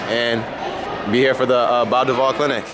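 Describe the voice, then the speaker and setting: A man's voice: mid-range voice, little pitch movement, normal speed. A young man, a college basketball player, answering interview questions politely. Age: young adult. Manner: polite, softly earnest. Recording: loud outdoor noise.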